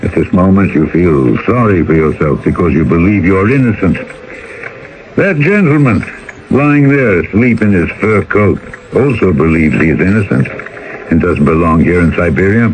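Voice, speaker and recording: A world-wise old-time river boatman in a very low voice. He talks calmly and deliberately, as in classic radio drama, over faint broadcast noise. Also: low-quality recording. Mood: grave, knowing. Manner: measured, deliberate. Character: world-wise Siberian river boatman, calm and knowing storyteller. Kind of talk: 1980s radio drama acting.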